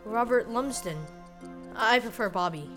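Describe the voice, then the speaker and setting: A woman's voice: on the high side, lively intonation, unhurried, over music. A performer playing a blunt, cheeky frontier boy with unschooled American country talk in a Western radio drama. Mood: curious.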